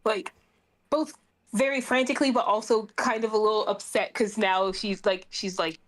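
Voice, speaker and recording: A woman's voice: mid-range voice, steady tone, normal speed. A woman playing a tabletop roleplaying game, casually describing what her character does. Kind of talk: casual improvised roleplay chat.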